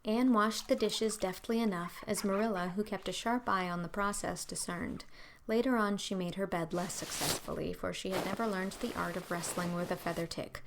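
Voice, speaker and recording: A woman's voice: mid-range voice, steady tone, normal speed, vocal fry, background noise. A woman reading scripted audiobook narration of a story.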